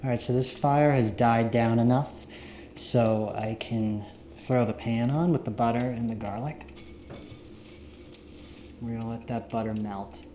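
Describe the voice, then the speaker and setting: A man's voice: mid-range voice, measured pace, pauses often. A man talking casually and unhurriedly, as if narrating his own camping and cooking vlog. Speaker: found-footage vlogger, narrator. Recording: roomy echo, faint background noise.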